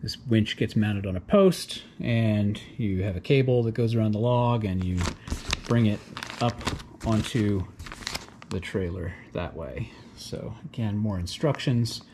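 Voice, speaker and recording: A man casually narrating as he unboxes sawmill equipment in his garage, explaining each piece as he goes with relaxed fillers. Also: fairly low pitch, measured pace, background noise.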